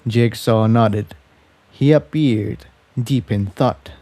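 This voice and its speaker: A man narrating a fan fiction story in a measured, scripted reading style. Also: fairly low pitch, dark tone, dry recording.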